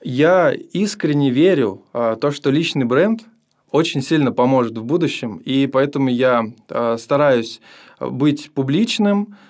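Man, about 30, mid-pitched guest, earnest, halting delivery. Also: expressive, darkish tone. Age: almost 30. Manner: earnest, hesitant, many fillers. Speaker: podcast guest. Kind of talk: casual interview.

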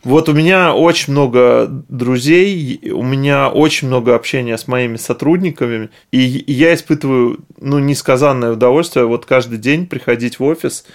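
A man's voice: mid-range voice, normal speed, hardly any pauses. A man speaking casually as a company owner being interviewed, thinking aloud in a meandering, informal, slangy way. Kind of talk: casual conversational discussion.

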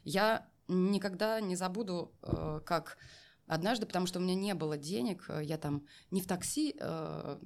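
A woman's voice: on the low side, normal speed. A woman telling a candid personal story as an interview guest, reflective and emphatic, repeating phrases for weight. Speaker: interview guest, voice artist and former TV and radio presenter.

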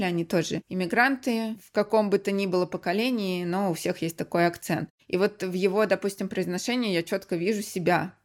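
Оживлённая женщина-гость подкаста. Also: female voice, fairly low pitch. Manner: lively storytelling, frequent fillers. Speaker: podcast guest, English teacher. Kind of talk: casual interview talk.

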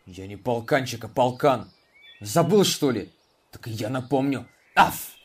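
A man's voice: mid-range voice, expressive, unhurried, slightly rough, far from the mic. A man voicing a proud, touchy male watchdog who speaks bluntly and barks between phrases, in a children's audio drama. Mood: gruff.

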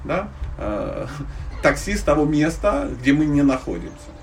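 A man lectures informally on spiritual matters in a slightly high voice with very lively intonation. His way of talking is blunt and conversational, full of slangy turns. The microphone sits some way off from him. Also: unhurried. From Dnipropetrovsk, Ukraine. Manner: conversational, blunt slangy wording, teaching through humorous allegories. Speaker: spiritual teacher giving a lecture. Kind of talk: informal religious lecture with audience questions. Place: live talk to a listening group. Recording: distant microphone, faint background noise.

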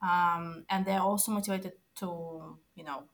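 A woman's voice: fairly low pitch, steady tone, unhurried, fairly bright tone. A woman whose first language is Russian, chatting casually in English with hesitant fillers and frequent laughs. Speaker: conversation participant. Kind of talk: casual chat.

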